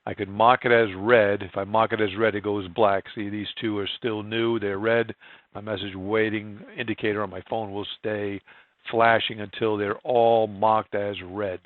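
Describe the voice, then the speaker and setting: A man narrating a screen-share software tutorial, explaining each step in an informal, plain-spoken way. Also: lowish voice, flat delivery, moderate pace, no echo, narrow, tinny audio. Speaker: software trainer.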